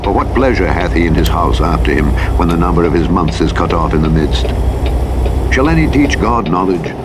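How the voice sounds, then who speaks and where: A man with a low voice narrates scripture like an audiobook. He speaks in solemn, deliberate phrases with a measured dramatic delivery. Loud truck engine rumble runs all through the recording. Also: expressive. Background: loud vehicle noise from a truck driving game.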